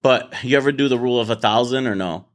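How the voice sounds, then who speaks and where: A man of ordinary pitch hosts a loose panel debate from his office. He talks bluntly and swears freely, often tripping over repeated words as he starts a sentence. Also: fast. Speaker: podcast host.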